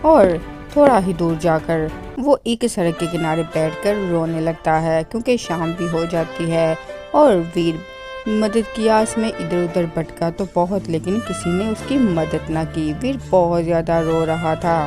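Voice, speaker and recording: A woman tells a scripted children's story as its narrator. Her voice is on the low side. Music plays clearly behind her throughout. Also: unhurried.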